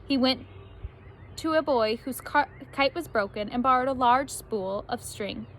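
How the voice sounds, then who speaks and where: A woman reading a children's story aloud like a teacher, expressively, with animated emphasis on key words. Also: high-pitched, a little slow, a little hiss or hum, poor audio.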